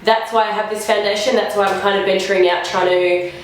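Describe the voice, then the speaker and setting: A woman's voice: medium pitch, fairly even intonation, fairly quick, slight background noise. A young woman vlogger chatting casually through a makeup try-on, sounding a bit nasal from a flu and filling her talk with "like". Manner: chatty, rambling, lots of "like" fillers. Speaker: beauty vlogger. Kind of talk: casual makeup first-impressions chat. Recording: very echoey room.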